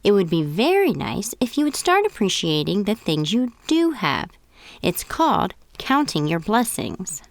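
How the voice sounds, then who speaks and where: Firm mother bear played by a woman, expressive. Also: mid-range voice, a little slow, gravelly, dry recording. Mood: stern, fed up. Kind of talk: children's storybook character reading.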